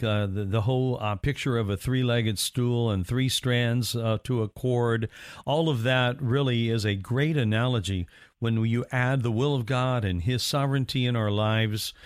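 A man's voice: medium pitch, no room sound. Man hosting an interview, dry close sound. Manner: earnest, affirming. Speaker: interview host. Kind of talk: casual interview chat.

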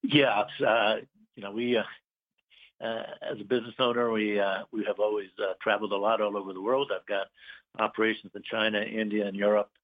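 A middle-aged man from Puerto Rico, a business owner, chatting as an interview guest, halting with many fillers and pauses. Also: fairly low pitch, flat delivery. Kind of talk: casual interview chat. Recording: tinny phone line.

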